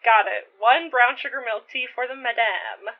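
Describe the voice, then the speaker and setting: A woman's voice: a little high, moderate pace, close to an everyday voice. A woman playing a teasing, caring young friend in a naturalistic audio drama, casual and wry in manner. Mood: playful, fond. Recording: thin, phone-like narrow band.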